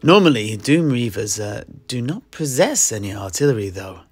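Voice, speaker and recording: A man's voice: fairly low pitch, very animated, moderate pace, breathy edge, dry recording, soft background music. A man playing a scholarly tavern keeper and amateur historian, musing and explaining pedantically in a fantasy audio play. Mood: musing, explanatory.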